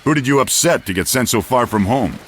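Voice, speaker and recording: An actor playing a battle-hardened Greek warrior in a video game, gruff, blunt and comradely. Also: male voice, medium pitch, animated, brisk, a little hiss or hum, close to an everyday voice. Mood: friendly. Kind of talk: video game cutscene acting.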